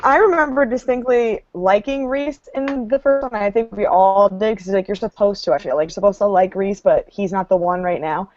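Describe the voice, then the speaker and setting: A woman's voice: medium pitch, fairly quick, few pauses, darkish tone, muffled recording, faint music behind. A woman chatting casually in a book discussion, acting out her reactions as quotes and saying "like" often.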